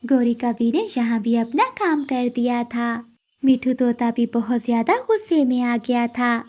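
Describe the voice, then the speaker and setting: A woman narrator of a children's animated story. Her voice is high-pitched with a mellow, rounded tone, delivering scripted storytelling. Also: a little slow.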